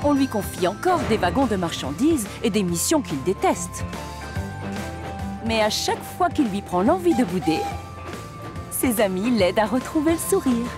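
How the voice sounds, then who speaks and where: A woman's voice: on the high side, big pitch swings, normal speed. A woman giving scripted narration as the storyteller of a children's cartoon. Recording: music behind the voice.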